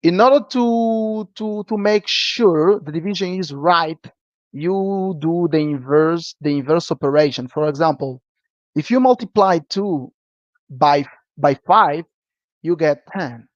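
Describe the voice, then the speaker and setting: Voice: medium pitch, a little slow, dry recording. Teacher doing a live coding lesson, says okay? a lot, dull dry recording. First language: Portuguese. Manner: checks in with a frequent "okay?". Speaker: programming teacher.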